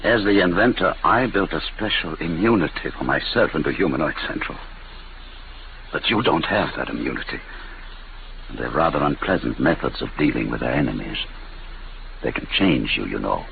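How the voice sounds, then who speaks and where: An actor playing a weary, intense older inventor in a 1950s radio drama, terse and grave. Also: male voice, lively intonation, moderate pace, full character voice. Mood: grave, guarded. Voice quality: deep, gravelly. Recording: very noisy, muffled old radio recording.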